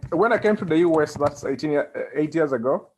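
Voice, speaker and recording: Halting Ugandan man in an interview. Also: on the high side, lively intonation, a little mellow, a little hiss or hum. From central Uganda. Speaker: interview guest, community organizer. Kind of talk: conversational interview answers.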